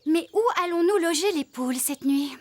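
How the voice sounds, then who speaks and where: Very high-pitched cartoon farmer played by a woman. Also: normal speed, close to an everyday voice. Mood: concerned. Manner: inquisitive, expressive. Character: young woman farmer, curious, caring, taking charge. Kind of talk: animated children's cartoon dub. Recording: loud music behind the voice. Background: loud cartoon music.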